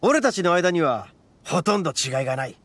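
An actor playing a brash, proud young horned oni gang boss in an anime game, with rough, blunt, swaggering speech. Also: male voice, sits high, big pitch swings, brisk, a bit bright, dry recording, heavily acted. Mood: solemn, explaining.

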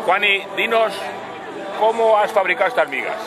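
A man's voice: sits high, animated, normal speed, rough recording. A man in his sixties with a Castilian Spanish accent, interviewing people casually and jokily in an outdoor square. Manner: jokey, chatty. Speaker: interviewer for local TV. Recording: outdoor camera sound with crowd noise.

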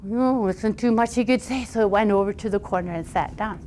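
A woman storyteller telling a fairy tale aloud in an informal, conversational and animated way. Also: mid-range voice, brisk.

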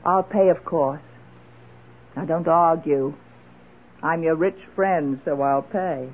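An actress playing a self-assured, decisive, well-off middle-aged widow in a radio drama. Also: female voice, low-pitched, slow. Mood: confident, reassuring. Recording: muffled old radio broadcast recording.

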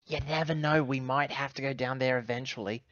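A man's voice: mid-range voice, fairly even intonation, brisk, fairly bright tone, no room sound, dull audio. A man playing a tabletop roleplaying game, talking casually with the group over a voice call.

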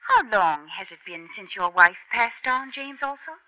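A shrewd village widow. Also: female voice, medium pitch, animated, moderate pace, sharp tone, low-quality recording. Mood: wary. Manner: terse, rustic speech. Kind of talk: 1950s radio drama acting.

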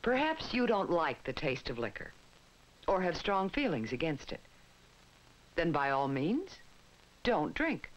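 An American woman reading scripted 1960s educational film narration in a measured, instructive way with emphatic phrasing. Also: deep voice, sing-song. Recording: dull 1960s film soundtrack with music. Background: prominent music.

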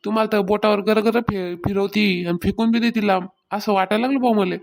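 A woman's voice: mid-range voice, steady tone, a little fast, a little mellow, slight background noise, lightly acted. Woman playing a meddling village gossip, cartoon comedy, rural Maharashtrian accent, taunting, insistent, level intonation. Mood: mocking. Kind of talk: Marathi comedy cartoon dialogue.

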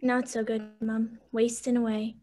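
A woman's voice: fairly high pitch, little pitch movement, unhurried, warm tone, lightly acted. A woman playing a blunt, grumbling sanitarium maid in colloquial servant's speech for a radio play.

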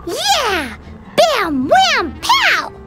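Super high squeaky little fairy girl, game sidekick, excitable n bouncy, music behind. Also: drawn-out words, exaggerated voice. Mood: eager. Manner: squeaky, exclaiming, refers to self in third person. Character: tiny excitable floating fairy girl companion, childlike and curious.

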